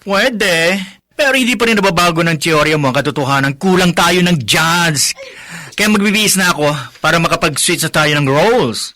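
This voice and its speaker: A man's voice: fairly high pitch, moderate pace, pushed and bright. A Filipino man playing a breezy, pragmatic, chatty friend in a radio drama. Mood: resigned, brisk.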